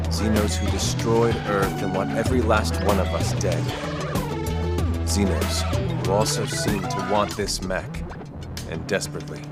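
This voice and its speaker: A man's voice: fairly low pitch, close to an everyday voice. A man voicing a serious, persuasive soldier in a video game, plain-spoken, candid and emphatic. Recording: loud music behind the voice.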